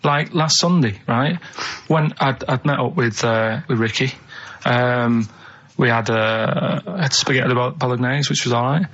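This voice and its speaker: A man's voice: mid-range voice, measured pace, hardly any pauses, a bit bright. Male radio regular, Manchester accent, rambling casual storyteller, plain delivery, muffled lo-fi audio. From Manchester, England. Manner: rambling, matter-of-fact. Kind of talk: casual anecdote telling. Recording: slightly muffled lo-fi radio recording.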